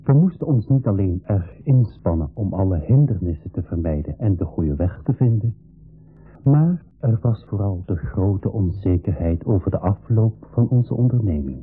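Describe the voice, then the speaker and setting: Anxious young nephew narrating an adventure radio play, dull old recording, faint music. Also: male voice, lowish voice, expressive, normal speed, breathy edge. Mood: earnest, grave. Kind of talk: 1980s school radio drama, scripted narration.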